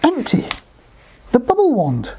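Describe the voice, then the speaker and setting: A woman's voice: on the high side, huge pitch swings, a little slow, a little hiss or hum, pitched above the performer's own voice. A woman voicing a small, friendly male bubble wand who has lost his way, calling out eagerly in a children's story. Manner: crying out eagerly, loudly.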